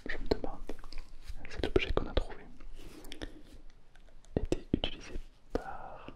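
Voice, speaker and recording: A man whispering in a breathy, hushed ASMR roleplay as an awed adventurer, telling his story with deliberate pauses. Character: awed YouTube challenge adventurer showing ancient finds. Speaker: ASMR performer.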